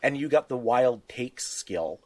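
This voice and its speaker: A man's voice: medium pitch, animated, a little slow, darkish tone. A man in casual podcast discussion about tabletop games, explaining things with examples and little acted-out asides. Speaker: podcast co-host.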